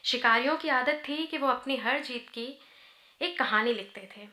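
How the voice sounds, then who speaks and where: A woman recites narrative poems in a measured storytelling way into a podium microphone. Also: sits high, bright, forceful tone. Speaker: poet. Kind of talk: live poetry recitation, narrative free verse. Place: indoor auditorium stage at a podium. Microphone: gooseneck podium microphone, close. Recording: roomy auditorium sound through PA microphone.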